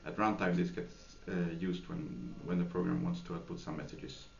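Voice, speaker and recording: A man with a low, gravelly voice answers questions slowly, pausing on fillers and restarting his sentences. The sound is echoey and muffled, with a faint hiss behind him. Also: fairly even intonation, a little mellow. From Sweden. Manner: hesitant, many fillers and restarts. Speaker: software developer, interviewee. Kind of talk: thoughtful interview answers. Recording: echoey room, dull lo-fi sound, faint noise.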